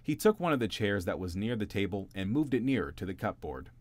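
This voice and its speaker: A man reading a bedtime story aloud in a gentle, expressive storytelling manner. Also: lowish voice, fairly quick, no room sound. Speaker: narrator.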